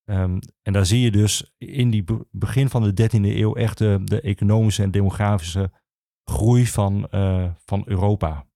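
A man explaining history as an interview guest, speaking haltingly with many filled pauses. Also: fairly low pitch, fairly even intonation, creaky, mellow, no room sound, studio quality. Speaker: archivist, podcast guest.

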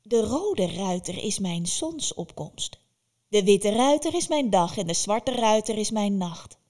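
A woman voicing a fierce, commanding old witch in a spoken fairy tale, loud and stern. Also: medium pitch, animated, unhurried. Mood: boastful.

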